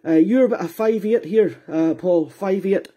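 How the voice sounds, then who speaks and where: A man's voice: sits high, moderate pace, warm tone. A Scottish man talking courteously and a little hesitantly as an amateur radio operator, spelling out call signs. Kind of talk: radio contact chat, procedural. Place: indoor radio room.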